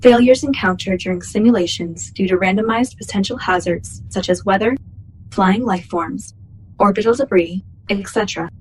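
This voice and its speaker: A woman voicing a starship's artificial intelligence in a sci-fi radio play, formal, measured and impassive as she recites a log. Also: medium pitch, darkish tone, a little hiss or hum, close to an everyday voice, poor audio.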